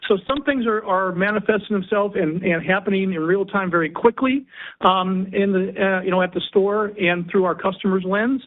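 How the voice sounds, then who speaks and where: An older man, a company executive, gives halting, folksy unscripted business remarks on an earnings call. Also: sits high. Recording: thin, narrow-band phone conference line.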